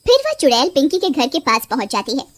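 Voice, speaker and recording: A woman's voice: high voice, very animated, fast, background noise. A woman narrating a scripted story as storyteller. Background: music.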